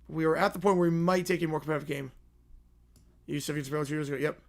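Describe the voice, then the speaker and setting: A man with a worn, hoarse voice calling college football play-by-play live on a stream, talking to chat between plays. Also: a little high, quick pace. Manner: excited, rising commentary on big plays, quieter asides to chat.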